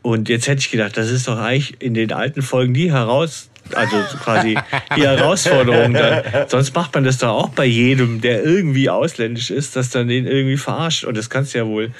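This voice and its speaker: A man co-hosts a podcast in a casual, conversational style. His voice sits at a medium pitch, and he talks colloquially, as if retelling a story to friends. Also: fairly quick, hardly any pauses. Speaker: podcast co-host. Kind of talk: casual podcast discussion of an audio drama.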